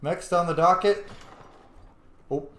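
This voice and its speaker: A man's voice: a little high, takes it slowly. A man giving casual, rambling, profane commentary as he streams a video game. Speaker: gameplay streamer. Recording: roomy echo, some background noise.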